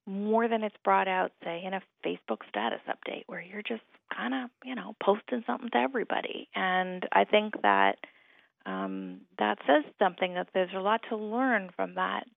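Gravelly woman on a phone line. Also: medium pitch, no room sound. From Hawaii. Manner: articulate, thoughtful, with repeated starts. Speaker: writer and guest. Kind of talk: reflective radio conversation.

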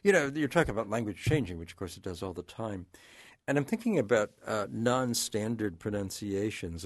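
A man hosting a radio call-in show, asking long measured questions. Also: lowish voice, no echo, clean studio sound. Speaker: radio talk show host.

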